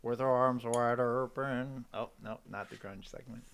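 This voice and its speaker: A man's voice: mid-range voice, fairly even intonation, unhurried, fairly bright tone, smooth. A man co-hosting a podcast, rambling through casual comedic riffs in a theatrical way. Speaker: podcast co-host.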